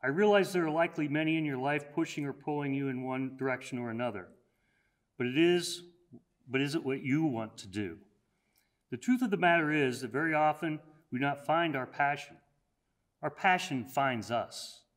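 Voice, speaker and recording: An older man at a podium delivering a prepared commencement address, measured and earnest, slowing down on key phrases. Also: a little high. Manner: measured, earnest, slows down for emphasis. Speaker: college president giving commencement address. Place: college hall, at a podium.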